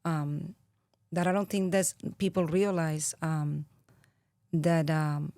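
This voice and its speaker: A low-pitched woman with a Venezuelan Spanish accent talks slowly and earnestly, pausing often to think. Her voice sits dry and close to the mic. She sounds like a podcast guest reflecting in her thirties. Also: fairly even intonation, dark tone, dry recording. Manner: reflective, earnest, with frequent pauses and fillers. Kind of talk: interview conversation.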